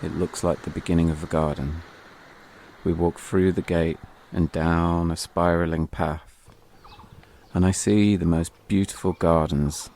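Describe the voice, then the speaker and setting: A man's voice: sits low, fairly even intonation, measured pace, a little mellow, slight background noise. A British man telling a scripted first-person story as an audio drama narrator, wry and confessional, with music behind him.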